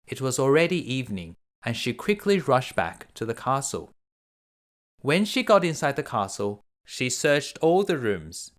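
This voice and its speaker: Expressive man reading a bedtime story. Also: medium pitch. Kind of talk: scripted fairy tale narration for children.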